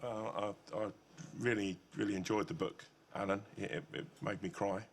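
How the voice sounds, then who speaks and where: Male audience member, deep gravelly voice, stammers nervously. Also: steady tone, normal speed, a little hiss or hum. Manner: stammering, repeats words nervously.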